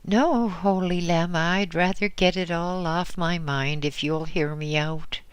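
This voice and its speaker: A desperate old widow, raised well above the man's own voice, pleads in slow tearful bursts. Her anguish keeps cracking upward. The reading is close and dry. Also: fairly even intonation, smooth, no echo, close to an everyday voice. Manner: tearful, pleading, breaking into high anguished outbursts. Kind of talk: audiobook reading.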